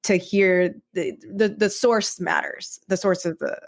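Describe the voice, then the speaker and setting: A woman talking casually as a podcast guest about her own creative work, in a halting, informal way. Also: fairly low pitch, steady tone. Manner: halting, informal, frequent "like" and "kind of". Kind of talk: casual chat.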